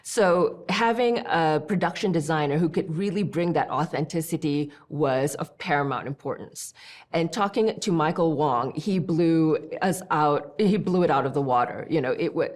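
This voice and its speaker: Woman from malaysia, low voice, animated, studio interview, director. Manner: animated, gestures as she talks, easy conversational flow. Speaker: film director. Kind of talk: behind-the-scenes interview.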